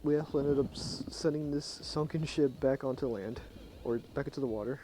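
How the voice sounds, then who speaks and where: A young man with a low voice, talking quietly and casually to his chat at night while he plays a game. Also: a little fast, rough recording. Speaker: streamer. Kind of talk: casual live game commentary. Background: faint game music.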